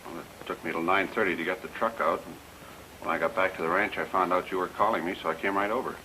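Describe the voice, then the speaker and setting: A noisy vintage radio recording of a ranch hand. His low voice comes out fast and defensive as he explains himself. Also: fairly even intonation, pushed and bright. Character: defensive ranch hand man under questioning. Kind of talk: old-time radio detective drama acting.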